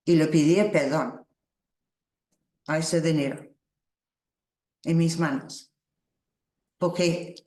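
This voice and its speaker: Slow, reflective woman, Spanish. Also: on the low side, darkish tone. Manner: slow, halting, reflective, with small laughs. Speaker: workshop teacher. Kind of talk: spiritual workshop talk. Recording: roomy echo.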